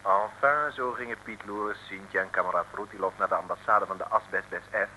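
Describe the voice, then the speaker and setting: The wry storyteller of an old comic radio serial. His voice is fairly low and crisply bright, with faint hiss behind it. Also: steady tone, normal speed, poor audio. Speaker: narrator. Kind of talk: scripted 1960s radio serial narration.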